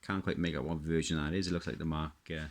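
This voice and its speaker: A middle-aged man giving a casual, unscripted show-and-tell, halting and musing as he repeats himself. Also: rumbling low, steady tone. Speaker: hobbyist presenter.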